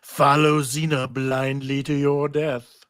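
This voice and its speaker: A man playing a bitter, urgent male figure who scolds his companions in slow, drawn-out phrases at a fantasy script reading. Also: medium pitch, flat delivery, a bit bright, dry recording, clean studio sound. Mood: sly, urging. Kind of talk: live table read of a TV fantasy script.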